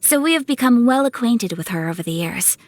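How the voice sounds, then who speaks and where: Woman acting as a dutiful young noblewoman, polite and measured, higher-pitched, gentle, video game dialogue, very dry sound. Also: animated, dry recording, natural voice. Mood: gentle, composed. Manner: formal, polite, measured phrasing. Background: music.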